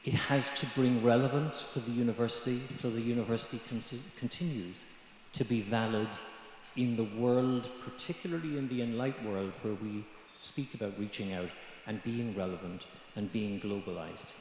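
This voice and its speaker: An older man with an Irish accent speaking as a mature student on a conference panel, measured and hesitant with many ums. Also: mid-range voice, a little mellow, a little hiss or hum. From Ireland. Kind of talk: panel discussion remarks. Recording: echoey conference hall.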